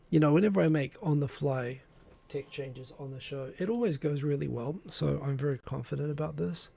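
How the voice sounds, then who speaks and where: An Australian man hosts a relaxed live stream, greeting viewers from the chat and poking fun at his own tech troubles. His voice is deep-grained and gravelly, with a dark, mellow tone and a husky edge. He sits close to a desk microphone. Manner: chatty, self-deprecating, greets viewers from chat. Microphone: desk microphone in front of him.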